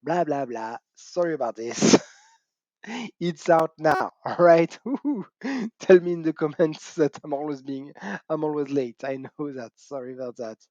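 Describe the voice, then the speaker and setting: A man's voice: on the high side, animated, a little slow, darkish tone, no room sound. A middle-aged man with a French accent hosting a casual gaming channel, chatty and self-mocking, laughing often and whooping. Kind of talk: casual talk to camera. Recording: dull cheap mic, dry room.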